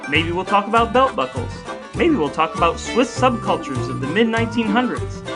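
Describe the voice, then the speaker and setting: A man's voice: high-pitched, sing-song, normal speed, over music. An American man co-hosting a podcast, moving between scripted intros and casual chat in a playful, wordy, announcer-like way. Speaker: podcast co-host.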